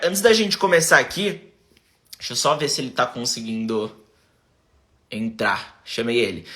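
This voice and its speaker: A Brazilian man with a Brazilian accent hosts a chatty live stream, his voice bright and a little high for a man. He talks straight into a phone set on a tripod, moving easily between Portuguese and English. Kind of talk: casual live-stream chat.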